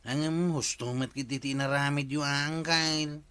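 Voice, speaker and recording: A mild village workman in a radio comedy drama. He has an Ilocano accent and a bright, slightly high voice, with a deferential, questioning way of speaking. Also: steady tone, measured pace, smooth, no echo. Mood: apologetic, grateful.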